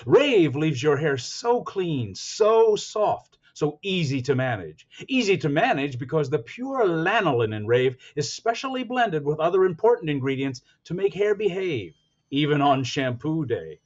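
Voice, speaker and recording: Man as slow, emphatic 1940s radio announcer. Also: a little high, big pitch swings, a little mellow. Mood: earnest selling. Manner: deliberate, emphatic sales delivery. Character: smooth earnest 1940s radio shampoo announcer. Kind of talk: scripted vintage radio advertisement. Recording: slightly dull, narrow-band sound.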